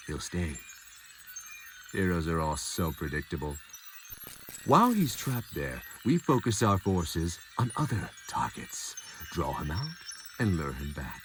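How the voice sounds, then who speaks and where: A man plays a menacing villain commander from an audio drama, very low in pitch. The delivery is gloating and deliberate, swinging expressively over a faintly noisy background. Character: scheming male villain commander plotting an ambush.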